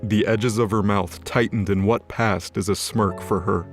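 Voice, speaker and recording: A man's voice: lowish voice, steady tone, measured pace. A man reading scripted, dramatic story narration as the narrator of an audio drama. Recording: music under the voice. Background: music.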